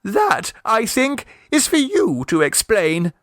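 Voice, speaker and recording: Man putting on a shrill gushing mother. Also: huge pitch swings, slow, a bit bright, no echo, studio quality, exaggerated voice. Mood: stiff. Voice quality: high, crooning falsetto. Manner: gushing baby talk. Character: fussy doting middle-class mother, shrill.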